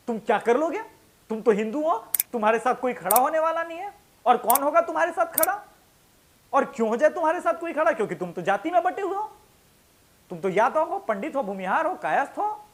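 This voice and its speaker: High-pitched, animated man, combative commentator. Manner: combative, rhetorical questions, colloquial and emphatic. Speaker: show host, political commentator. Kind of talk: impassioned opinion monologue.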